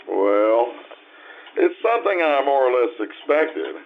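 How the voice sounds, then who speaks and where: Man acting animated, gentle radio-play husband. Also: mid-range voice, slow pace, gravelly, narrow, tinny audio, heavily acted. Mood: reluctant. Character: gentle, tactful 1950s husband and father. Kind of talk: old-time radio drama acting. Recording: thin radio-like sound with background noise.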